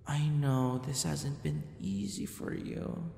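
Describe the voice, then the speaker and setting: A man playing a gentle, possessive yandere captor in an ASMR roleplay, speaking softly and often slipping into whispers. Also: very animated, slow, exaggerated voice. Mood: soothing, concerned. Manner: soft, breathy, often dropping into a whisper. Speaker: audio roleplay voice actor. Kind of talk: ASMR boyfriend roleplay acting. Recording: roomy reverb with faint rumbling noise.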